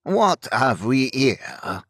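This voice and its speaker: A man voicing a young French highwayman in an audiobook, mocking and brazen, with a grating, put-on gruffness and a French accent. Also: very animated, drawn-out words, fairly bright tone, no room sound, studio quality, full character voice. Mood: menacing. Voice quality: grating, artificially deepened voice. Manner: mocking, taunting.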